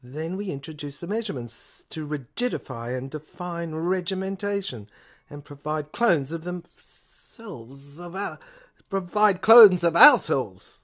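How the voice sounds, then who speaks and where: A woman's voice: low voice, expressive, a little slow. A woman voicing a sinister, sarcastic male chief detective, an alien invader lecturing pompously in an audio play.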